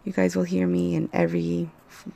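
A woman hosts her own podcast, recording straight into a phone. Her voice is hoarse and deeply gravelly, pitched a little low with a dark, mellow tone. She rambles casually, pausing often and filling the gaps with ums and uhs. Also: monotone. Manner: rambling, hesitant, many fillers. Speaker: podcast host. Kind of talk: casual solo podcast chat.

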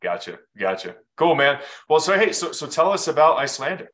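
A man's voice: a little high, sing-song, brisk, a bit bright. A man hosting an interview podcast in a casual, chatty style.

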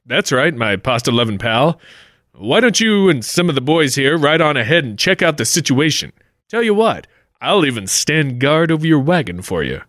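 Man as wily adventurer, sly folksy patter, old-time slang, animated pitch, 1940s-style comedic radio acting. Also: moderate pace, no room sound, clean studio sound. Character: folksy, wily adventurer narrating his own scheme.

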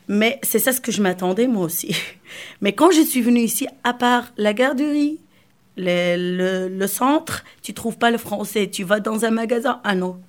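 A woman from Morocco, whose first language is Arabic, answers interview questions in a halting, friendly way. She repeats words and restarts her phrases, breaking into laughs often. Her voice sits at an ordinary middle pitch. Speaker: interview guest. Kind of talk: casual interview chat.

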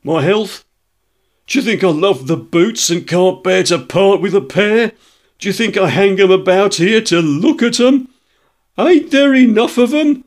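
A man voicing a surly, gruff shopkeeper with a thick, husky voice, firing off indignant questions in a story reading. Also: medium pitch, pitched above the performer's own voice, lightly acted. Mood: rising indignation. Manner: starts slow, grows into indignant ranting questions.

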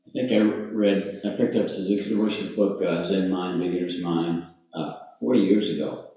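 Deep man heard from far off in a hall. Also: fairly even intonation, moderate pace, dark tone. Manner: plain and hesitant. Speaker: sangha member sharing.

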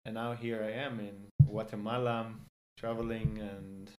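A young Greek man speaking English as a second language, relaxed and hesitant, with frequent fillers and restarts. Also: lowish voice, unhurried, heavy background noise. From Greece. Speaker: guest. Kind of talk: casual interview in English.

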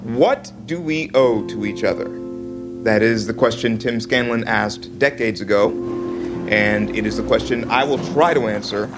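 An actor playing an anxious, indecisive philosophy professor who talks in an earnest, halting way. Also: male voice, mid-range voice, over music, close to an everyday voice. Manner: earnest, fretful, halting restarts. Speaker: ethics professor.

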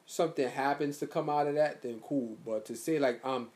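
A man in his thirties in casual chat as a podcast co-host, talking in loose slangy bursts. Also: normal speed. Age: 30s. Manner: laid-back slangy talk, lively pitch swings.

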